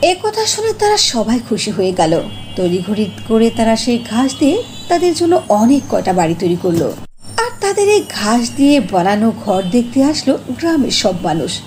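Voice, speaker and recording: A woman storyteller reads a scripted fairy tale in a mellow, fairly high voice. Her intonation is lively, and there is faint noise behind her. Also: brisk.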